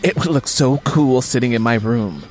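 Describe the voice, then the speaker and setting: A man's voice: mid-range voice, moderate pace, darkish tone, faint music behind, natural voice. A man voicing an eager, affectionate young daughter in an audiobook story. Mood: eager, coaxing.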